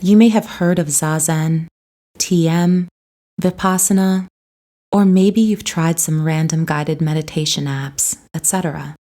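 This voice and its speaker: Slow, mellow woman guiding meditation. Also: low voice, steady tone. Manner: soothing, unhurried, gentle delivery. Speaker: meditation guide. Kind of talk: guided meditation narration, scripted.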